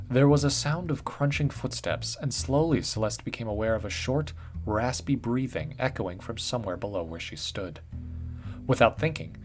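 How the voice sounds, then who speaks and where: Guy reading a spooky story out loud, slow and careful, mid voice, quiet guitar music under it. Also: mid-range voice. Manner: measured storytelling with slowed, dropped-off phrase endings. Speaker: story narrator. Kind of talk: scripted narration of a dark fiction story. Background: faint guitar music.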